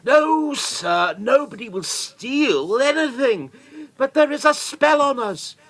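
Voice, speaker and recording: Servile flustered steward in a radio play, acted by a man, high sharp pleading voice, slow halting delivery. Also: expressive, exaggerated voice. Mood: desperate, pleading. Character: cringing, put-upon male castle steward, servile. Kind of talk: theatrical radio play acting.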